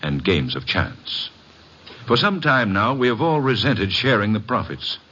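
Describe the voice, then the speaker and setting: Suave formal card game host, real deep lively voice, dull old radio sound. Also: male voice, breathy edge, heavily acted. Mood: suave, commanding. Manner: formal, precise, measured phrasing. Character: suave, formal male club owner hosting a card game. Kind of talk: old-time radio drama acting. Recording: dull, hissy vintage radio recording.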